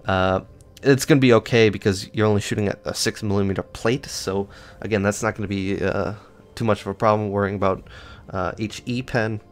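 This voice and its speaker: A man with a fairly low voice talks through game stats in a loose, offhand way. He rambles, restarts his sentences and fills the gaps with ums and uhs. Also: fairly even intonation, fairly quick. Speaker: gaming channel host. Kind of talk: casual gameplay stats walkthrough.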